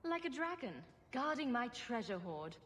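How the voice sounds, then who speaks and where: A woman's voice: high voice, sing-song, moderate pace, fairly bright tone, breathy edge, heavily acted. A woman playing a sarcastic, joking adventurer in a video game, with a wry delivery. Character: sarcastic, humorous adventurer woman, quick with dry jokes.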